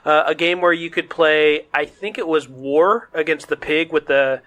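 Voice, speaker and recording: A man co-hosting a podcast, chatting casually about games in an excitable, nostalgic storytelling way. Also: fairly high pitch, moderate pace. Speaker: podcast co-host.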